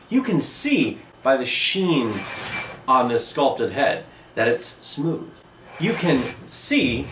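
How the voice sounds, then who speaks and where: A man teaching an art lesson on video, speaking emphatically in short phrases with pauses. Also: mid-range voice, fairly bright tone. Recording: echoey room, distant mic, noisy.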